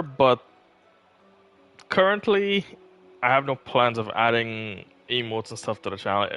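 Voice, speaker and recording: A man's voice: medium pitch, fairly bright tone. A man livestreaming a game, chatting casually in a polite, offhand and slightly hesitant way. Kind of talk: casual chat while streaming a game.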